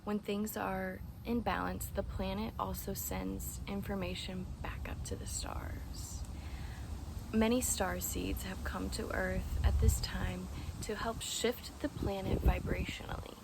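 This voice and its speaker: Soft whispery woman reading oracle cards. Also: medium pitch, gravelly. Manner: gentle, slow, often whispery. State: congested. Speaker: oracle card reader. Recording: steady background noise with crickets.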